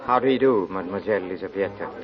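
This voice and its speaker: An actor playing an intense, scheming young officer in love, halting and melodramatic, in a 1940s radio drama. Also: male voice, deep voice, close to an everyday voice. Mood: ardent. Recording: muffled vintage 1940s radio recording. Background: music.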